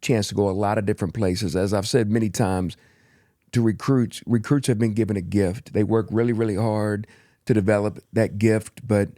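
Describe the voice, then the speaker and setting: A man's voice: fairly low pitch, fairly even intonation, moderate pace, dark tone, dry recording, studio quality. A man hosting a faith-centered sports podcast, asking long, rambling conversational questions of his guest. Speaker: podcast host. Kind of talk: faith-focused sports interview.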